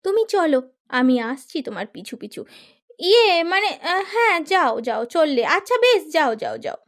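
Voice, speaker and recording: A woman's voice: very high voice, very animated, normal speed, exaggerated voice. A woman voicing a greedy, nagging, scheming wife of a poor woodcutter in a children's cartoon, exclaiming and wheedling. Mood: sly, scheming. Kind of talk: Bengali children's cartoon voice acting.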